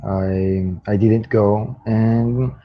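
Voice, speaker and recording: A young Brazilian man, native in Portuguese, speaks English with a Brazilian accent in a low voice. He goes very slowly and hesitantly, hunting for words, over dull lo-fi audio. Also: steady tone. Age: young adult. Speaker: English student. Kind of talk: halting conversation practice.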